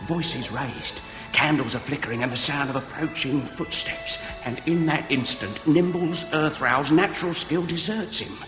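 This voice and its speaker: An actor playing a wordy, principled hired burglar, deferential yet stubborn, in a comic period radio drama. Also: male voice, a little high, moderate pace, hardly any pauses, natural voice. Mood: dramatic. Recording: echoing cathedral-like hall, music behind.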